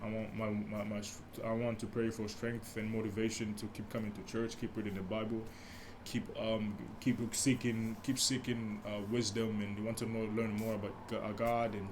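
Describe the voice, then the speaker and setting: Young man, deep mellow voice, soft-spoken, rambling with restarts, casual podcast talk, faint background hiss. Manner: rambling, many restarts and asides, speaks softly. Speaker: podcast host. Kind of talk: casual solo podcast storytelling.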